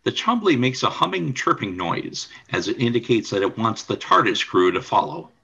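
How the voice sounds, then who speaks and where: A man's voice: on the low side, very animated, moderate pace, gravelly, bright, forceful tone, breathy edge, muffled recording. A man reading scripted scene narration for a radio play. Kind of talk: scripted narration of stage directions.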